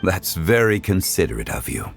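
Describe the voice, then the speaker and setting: A man playing a refined, courteous scholar in a video game, calm and dignified, speaking in a formal, measured way. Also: deep voice, gravelly, heavily acted. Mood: composed, gracious. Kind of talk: video game character acting. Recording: background music under the dialogue.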